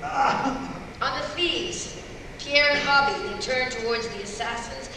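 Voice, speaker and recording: A woman's voice: sits high, slow. A woman voice actor reading a script aloud at a live table read, recorded from a distance in an echoey hall. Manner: playful, giggly between lines. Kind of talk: live script reading of stage directions and lines. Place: large convention room with live audience. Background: room ambience noise.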